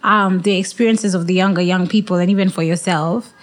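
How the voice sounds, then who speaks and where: A woman's voice: lowish voice, fairly even intonation, fairly quick. A woman hosting a podcast interview, chatty and affirming, asking long winding questions. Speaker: podcast host. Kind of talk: casual interview chat.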